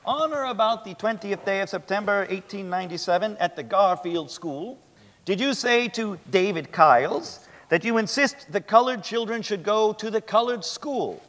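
A man playing a methodical 1890s defence lawyer in a dramatic stage reading, reciting formal questions deliberately. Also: high-pitched, animated, a bit bright. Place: large theatre stage, indoors. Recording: echoey theatre hall.

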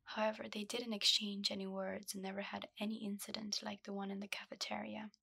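Husky, gravelly low whisper from a woman. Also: level tone, no echo, clean studio sound. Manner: slow, soft, breathy whisper. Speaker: ASMR host. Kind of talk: whispered ASMR storytelling. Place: dark room lit by a candle.

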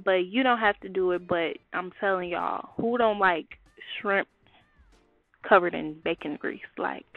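A woman's voice: on the low side, measured pace, no echo, phone-quality sound, faint music behind. A young woman hosting a casual home cooking video, talking viewers through a recipe in a chatty, slangy way. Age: young adult. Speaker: cooking vlogger. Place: small home kitchen, indoors.

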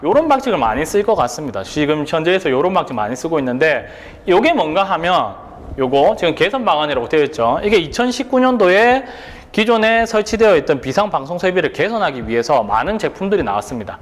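A man's voice: on the high side, sing-song, quick pace, big-room sound. A male instructor giving an exam prep lecture, posing rhetorical questions and checking that students follow along.

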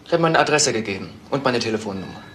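A man acting an eager young son in a crime radio drama. He talks fast in a bright, tense voice, trusting and keen, with faint noise behind. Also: mid-range voice, animated, lightly acted. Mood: defensive, eager. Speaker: actor in a crime radio play.

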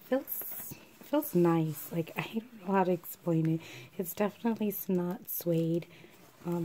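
A woman with a hoarse, froggy voice left by a cold talks through a makeup unboxing, slipping often into a half-whisper and clearing her throat. Her delivery is slow, low-pitched and mellow. A faint hiss sits under the recording. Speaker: beauty vlogger. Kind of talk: casual product unboxing. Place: at a vanity table indoors. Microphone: camera over the table, hands only in view.

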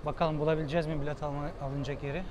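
Casting: male travel vlogger, slightly high voice, casual talk aloud, noisy metro hall behind. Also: level tone, very fast. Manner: chatty, thinking aloud, switching to English with his companion. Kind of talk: casual travel vlog narration. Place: metro station and train, indoors. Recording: noisy public space.

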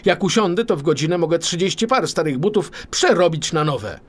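A man's voice: on the high side, expressive, brisk, pitched above the performer's own voice. A man voicing a boastful, hasty cobbler, eager and bragging, in a children's storybook.

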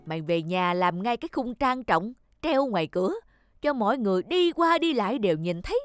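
A woman's voice: mid-range voice, very animated, brisk, close to an everyday voice. A woman voicing a pompous, short-tempered fat village man in a cartoon, boastful and blustering. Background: music.

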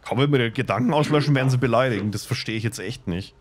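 A man's voice: mid-range voice, very fast, noisy background. A man live streaming a game in speech, reading dialogue aloud with stumbles and adding casual comments. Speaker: gaming streamer.

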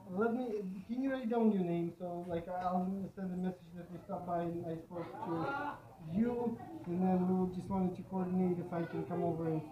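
A woman's voice: fairly low pitch, steady tone, moderate pace, echoey room. An American woman vlogging outdoors in a crowded slum, talking casually with many restarts and fillers. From United States. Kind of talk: casual conversation. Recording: muffled camera audio, crowd behind.

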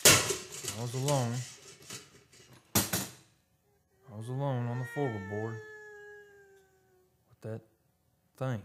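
An actor playing a haunted oil driller in a radio drama, telling his story in a folksy, halting, suspenseful way. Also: medium pitch, steady tone, heavy background noise. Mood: tense, fearful. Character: haunted male oil driller recounting a terror. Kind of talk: live old-time radio drama monologue. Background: live dramatic music.

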